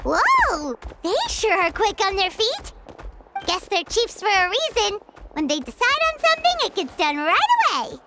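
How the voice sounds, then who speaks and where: A woman's voice: very high voice, huge pitch swings, moderate pace, very noisy, heavily acted. A woman voicing a tiny, cheeky, chattering fairy companion in a video game. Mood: cheeky, impressed.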